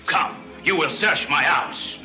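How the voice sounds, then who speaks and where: A sly French underworld fixer, with a very bright, cutting voice. He is ingratiating in broken English, in an old film serial with faint music behind him. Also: a little high, slow pace, full character voice. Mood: falsely friendly. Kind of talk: 1940s film serial acting.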